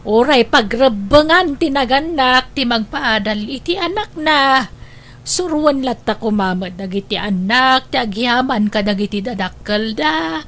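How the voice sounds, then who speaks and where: A woman's voice: fairly high pitch, lively intonation, measured pace, fairly bright tone. A woman with a Filipino accent acting an aggrieved, fretting grandmother in a radio drama. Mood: earnest, pleading. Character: aggrieved grandmother, fretting over her sacrificing daughter.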